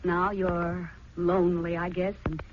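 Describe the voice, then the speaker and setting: An actress playing a troubled, gentle married woman in a 1940s radio drama, earnest and pleading. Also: on the low side, flat delivery, a little slow, dull audio, close to an everyday voice. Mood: wistful, troubled. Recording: noisy, band-limited 1940s radio recording.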